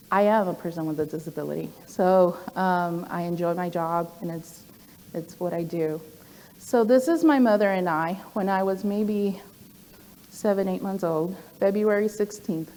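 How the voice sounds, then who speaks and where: A woman's voice: medium pitch, a little mellow, a little hiss or hum. A woman in her forties giving a personal presentation to an audience. She speaks conversationally, with frequent "um" fillers and asides. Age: 40s. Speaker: program director giving a talk. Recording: long hall reverb.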